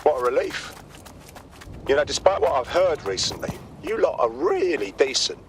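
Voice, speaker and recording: A man's voice: on the high side, wildly swooping pitch, normal speed, slight background noise. A man playing an earnest, hard-up ordinary Londoner in a video game, with a British accent. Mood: relieved.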